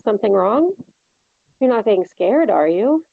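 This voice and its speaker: A woman's voice: mid-range voice, big pitch swings, a little slow, creaky, dry recording, exaggerated voice. A woman playing a teasing, protective young friend in a horror script table read, wry in manner, on a dull lo-fi microphone.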